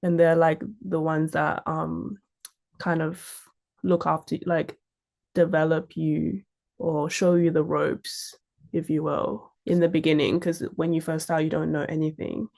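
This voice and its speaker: Young aussie woman chatting in an interview, lowish gravelly fry, super informal storyteller. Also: level tone, unhurried, a little mellow. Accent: Australian. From Sydney, Australia. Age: young adult. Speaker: fashion model, interview guest.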